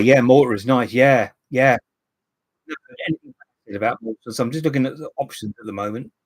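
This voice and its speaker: A man's voice: fairly low pitch, breathy edge, no echo, poor audio. A man, chatting casually on a live stream and answering viewer comments. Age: 50s. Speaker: livestream host.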